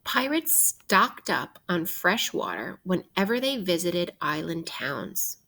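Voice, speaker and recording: Woman, teacher, lowish pitch, very slow pace, deliberate, instructive, interactive children's read-aloud. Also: sing-song, sharp tone. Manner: deliberate, instructive, posing questions to young listeners.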